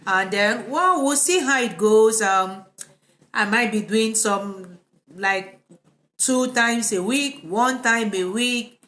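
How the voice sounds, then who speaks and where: A woman with a Cameroonian accent speaks at a middling pitch in a relaxed, informal way, like a therapist hosting her own live vlog. The delivery is conversational and unscripted. The room around her adds a clear echo to the voice. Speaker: psychotherapist hosting a live video. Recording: echoey, reverberant room.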